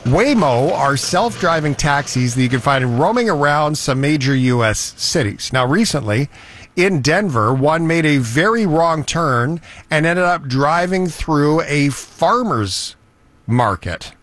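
A man hosting a talk show, telling stories in a chatty, animated way. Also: a little high, measured pace, no room sound. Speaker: show host. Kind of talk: casual talk-show banter.

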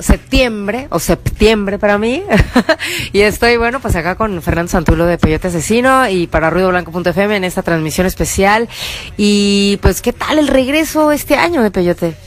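A woman hosting a casual radio interview, relaxed and drawn out, with fillers. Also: medium pitch.

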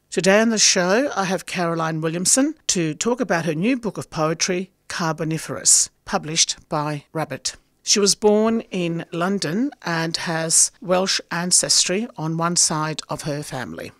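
A woman hosting a community radio poetry show, giving measured, formal introductions from a studio. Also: lowish voice, no room sound. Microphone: studio microphone.

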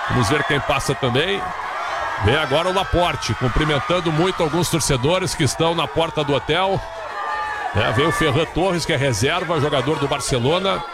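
A Brazilian man working as a sports broadcast commentator, giving live, unscripted running commentary with frequent tag questions. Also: a little high. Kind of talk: live ad-libbed commentary on a team leaving its hotel. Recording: noisy urban crowd ambience. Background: loud crowd outdoors.

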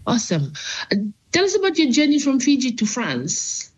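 A woman's voice: high-pitched, wildly swooping pitch, moderate pace, slightly rough, dull audio. A woman hosting an interview, asking questions and giving short, encouraging reactions.

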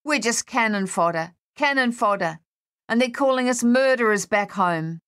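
A woman's voice: a little high, animated, moderate pace, fairly bright tone, clear, resonant tone, voice pushed up. A woman voicing a young Australian male soldier in an audiobook, shell-shocked and grieving, speaking in an anguished, insistent ramble. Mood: bitter, unhinged. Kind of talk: audiobook character voice.

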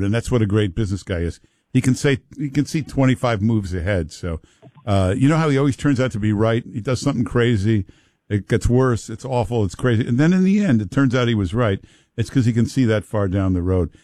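A man's voice: medium pitch, fairly quick, darkish tone, no room sound, clean studio sound. A man hosting a call-in radio talk show, bantering and joking with callers in a brash way.

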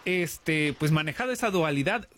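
A man, co-hosting a casual radio chat in an animated way. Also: on the high side, normal speed. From United States. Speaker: radio co-host.

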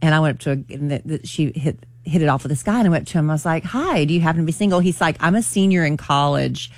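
A woman's voice: low-pitched, fast talker, a little mellow, no echo. Playful, teasing woman. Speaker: radio show co-host. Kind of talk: casual morning show banter. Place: radio studio. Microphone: studio microphone.

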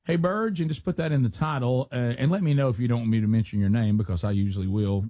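A man, hosting a radio show and telling stories casually, animated, often repeating himself. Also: medium pitch, fast, no room sound. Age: 60s. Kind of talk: casual storytelling and banter.

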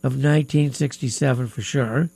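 A man hosting a live music talk radio show in a studio, sounding stuffy-nosed and pausing often with fillers. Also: medium pitch, steady tone, vocal fry, darkish tone, husky, no echo. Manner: hesitant, many filler pauses. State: stuffy nose. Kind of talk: casual talk radio chat.

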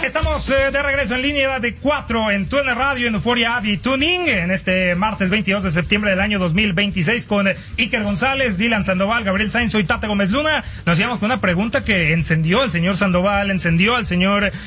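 A man hosting a sports radio show, teasing his co-hosts in quick banter with fillers. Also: high voice, pushed and bright.

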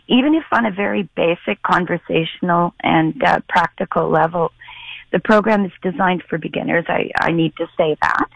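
Gravelly woman on the phone. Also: fairly low pitch, no room sound, highs cut off. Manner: earnest and explanatory, with hesitations. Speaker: senior center program organizer. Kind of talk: live radio phone interview. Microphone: telephone.

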